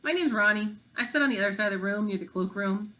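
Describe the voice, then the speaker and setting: A woman's voice: mid-range voice, quick pace, fairly bright tone, natural voice. A grumpy fifth-grader put on by a woman reading aloud talks in blunt, emphatic bursts. The storybook kid is scornful of classmates.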